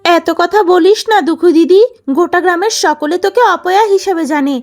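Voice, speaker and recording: Woman voicing a shrill, spoiled young village wife. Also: fairly quick. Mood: haughty, scornful. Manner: haughty, scolding, whining. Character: spoiled, spiteful young second wife, bullying her sister. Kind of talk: melodramatic cartoon story acting.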